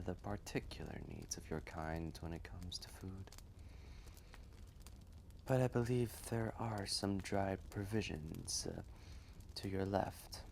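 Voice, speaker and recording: A man voicing an ancient, gentle, wise male dragon in a roleplay story, speaking in a hushed, measured, often breathy voice with a kindly manner. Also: slight background noise, exaggerated voice. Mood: hospitable. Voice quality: low, gravelly, often breathy and whispery.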